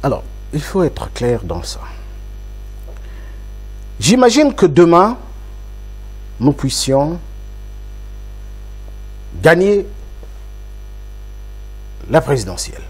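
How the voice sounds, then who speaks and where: Emphatic Congolese politician, slow and lively. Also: male voice, a little high, breathy edge, rough recording. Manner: emphatic, rhetorical, repeats phrases for effect. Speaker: opposition politician guest. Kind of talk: political interview.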